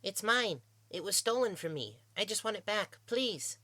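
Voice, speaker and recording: A man's voice: fairly high pitch, lively intonation, very slow, fairly bright tone, dry recording, voice pushed up, exaggerated voice. A man voicing a small, downtrodden young male pony slave with a timid, plaintive manner in a narrated story. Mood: pleading, timid. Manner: pleading, plaintive.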